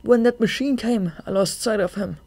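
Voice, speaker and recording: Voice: medium pitch, moderate pace, darkish tone, no room sound, pitched above the performer's own voice, natural voice. A storybook narrator voicing a frightened, guilt-ridden young male bat with urgent, emotional outbursts. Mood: shaken.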